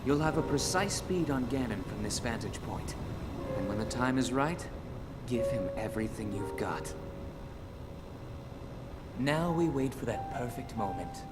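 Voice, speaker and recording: A man's voice: medium pitch, expressive, measured pace, long pauses, lightly acted. Smug, theatrical bird warrior played by a man, music behind. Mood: confident, taunting. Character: proud male bird warrior, cocky yet reflective. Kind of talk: video game cutscene acting.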